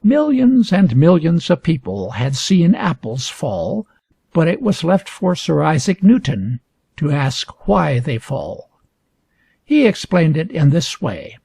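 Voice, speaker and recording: Expressive, slow male storybook reader, slightly gravelly. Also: a little high, no echo. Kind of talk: scripted storybook narration for English learners.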